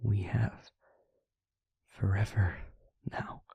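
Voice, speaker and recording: Male actor as devoted vampire boyfriend, very breathy half-whisper, slow halting pace, tender, earnest, protective, intimate audio roleplay. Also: fairly low pitch, level tone, dark tone, dry recording, dull audio, exaggerated voice. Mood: earnest, loving. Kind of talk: intimate audio roleplay, ASMR-like scripted acting.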